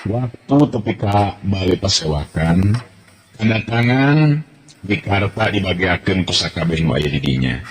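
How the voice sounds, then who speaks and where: A man narrating a traditional folk tale in a measured, descriptive way, recorded for old radio. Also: low voice, expressive, slight background noise. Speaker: storyteller.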